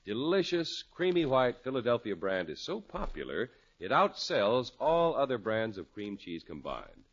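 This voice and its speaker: A man's voice: medium pitch, big pitch swings, moderate pace, slightly rough. A man announcing a 1950s radio show, reading scripted narration and sponsor copy. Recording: muffled old radio broadcast.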